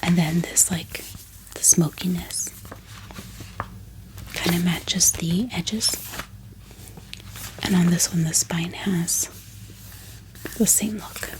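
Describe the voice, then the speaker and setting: A woman speaking in a soft, gentle, unhurried ASMR whisper, calmly showing things to her viewers. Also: fairly low pitch, poor audio. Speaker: ASMR book vlogger. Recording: steady background hiss, heater hum, clicks. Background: book tapping, clicking, heater running.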